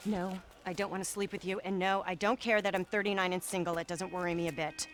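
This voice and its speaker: A woman's voice: lowish voice, little pitch movement, fast talker, faint music behind, natural voice. An actress playing a bitter, combative woman in her thirties in a video game, snappish and defensive. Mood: defensive, hostile. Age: 30s. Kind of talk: video game cutscene acting.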